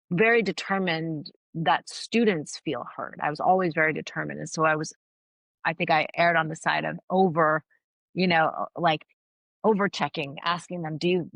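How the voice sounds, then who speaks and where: A woman's voice: deep voice, vocal fry, a bit bright, no room sound, rough recording. A middle-aged woman whose first language is Farsi, a guest giving earnest, thoughtful interview answers with frequent restarts. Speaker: guest, anthropologist and author.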